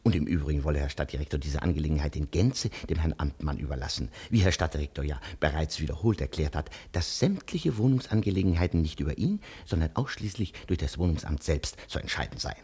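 Very deep, pedantic male official. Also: lively intonation, quick pace, hardly any pauses, a little mellow, slightly rough, heavily acted. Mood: formal, pedantic. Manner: formal officialese, circumlocutory, deferential. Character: pedantic, deferential male subordinate official, stiffly bureaucratic. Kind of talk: 1950s German radio drama acting.

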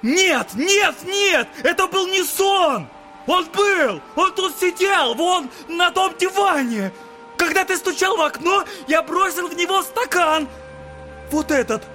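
A tormented young man in an audio drama, exclaiming and sneering in a feverish state. His voice is extremely high for a man and forcefully sharp. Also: animated, takes it slowly, soft background music, heavily acted. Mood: frantic. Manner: agitated, exclamatory, derisive.

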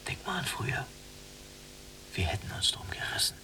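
An actor playing a yielding, resigned younger prisoner in a radio drama, with terse, dry phrasing. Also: male voice, normal speed, in a whisper, lightly acted. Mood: dry, reproachful. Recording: distant mic, noisy hum.

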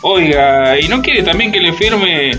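An actor playing a hesitant, put-upon factory owner in a satirical radio drama. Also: male voice, on the high side, expressive, unhurried, over music. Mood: fed up. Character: wary, put-upon male factory owner signing endless papers.

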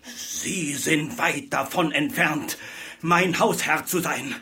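An eccentric male tenant in a radio drama, heard slightly off the microphone. His voice is very high for a man. He is stubborn and mistrustful, putting his words formally and theatrically with dogged insistence. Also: takes it slowly, sharp tone, distant mic, heavily acted. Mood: haughty, reproachful. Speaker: actor in a radio play. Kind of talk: 1980s German radio drama acting.

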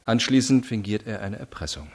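A tough cop interrogating, really low voice, terse and insinuating, crime radio drama. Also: male voice, animated, a little fast, slightly rough. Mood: cold, insinuating. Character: hard, probing male police investigator in 1920s Chicago. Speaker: police interrogator.

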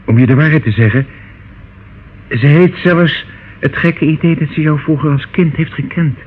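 An actor playing a devious older uncle in a radio drama, glib, reassuring and condescending. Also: male voice, fairly high pitch, normal speed, natural voice. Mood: evasive, soothing. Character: smooth, devious older uncle, soothing and patronising. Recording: phone-like, noisy old radio play recording.

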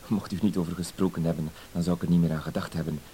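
A man's voice: deep voice, fairly even intonation, racing, dark tone, close to an everyday voice. An actor playing a fussy, hesitant, apologetic blackmailer in a radio play, circling around his point with verbal tics. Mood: flustered, apologetic. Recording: hissy old radio play recording.

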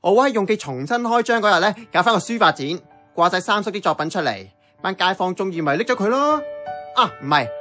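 A man's voice: sits high, expressive, quick pace, sharp tone, soft background music. An actor with a Hong Kong Cantonese accent playing a casual, blunt young man in radio drama dialogue. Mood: blunt, exasperated.

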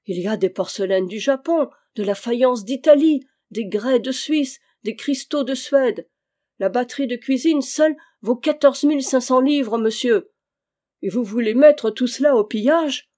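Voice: mid-range voice, expressive, normal speed, dark tone, close to an everyday voice. A reader voicing a fussy, wealthy male royal steward who exclaims and frets over his possessions, in an audiobook reading. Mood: indignant.

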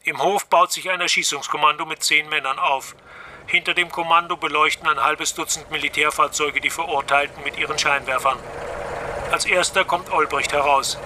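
Male narrator for scripted radio drama, very crisp bright tone, slightly high register. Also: fairly even intonation, normal speed, slight background noise.

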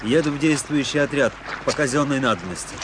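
A man's voice: mid-range voice, expressive, brisk, background noise, lightly acted. An actor in a radio play playing a weary, impatient army officer who speaks curtly and tells his own story. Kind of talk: radio play acting with first-person storytelling.

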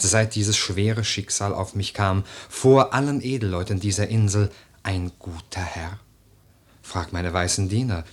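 A deep, husky young nobleman in mourning. He declaims lyrical radio-play verse slowly, with brooding intensity. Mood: earnest, pleading. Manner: declamatory, lyrical, slow and deliberate. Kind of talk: theatrical German verse radio drama.

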